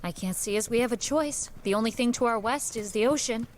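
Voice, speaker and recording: A woman playing a weary, determined, haunted heroine in a supernatural Old West audio drama, speaking in a measured, emotive way. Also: fairly high pitch, slight background noise, natural voice. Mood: resolute. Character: weary, determined woman sharing her body with a murderer.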